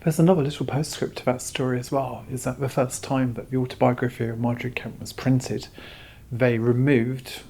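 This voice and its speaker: Measured man, mid-pitched, explaining. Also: level tone, gravelly, a little mellow, breathy edge. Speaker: exhibition curator being interviewed. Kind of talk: informative interview answers.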